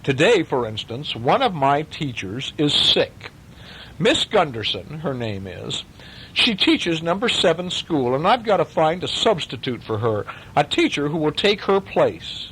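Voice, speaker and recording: A man's voice: on the high side, big pitch swings, unhurried, a bit bright, breathy edge, pitched above the performer's own voice, full character voice, rough recording. A man voicing a harried, gloomy school superintendent in a storybook, with a fretful, emphatic, complaining manner. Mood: worried.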